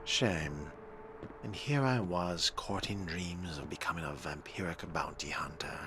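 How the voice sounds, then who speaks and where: A man doing in-character game commentary with a dry, wordy, sardonic manner, often dropping into a breathy murmur. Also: sits low, measured pace, noisy background. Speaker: role-playing let's player. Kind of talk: in-character game commentary, musing aloud and reading text. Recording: game music behind. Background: video game music.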